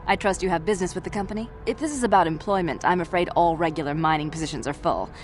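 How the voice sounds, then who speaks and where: A woman playing an officious, businesslike mining company clerk in a video game, with a formal, brisk manner. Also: lowish voice, soft background music, natural voice. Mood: curt, dismissive. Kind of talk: video game character acting.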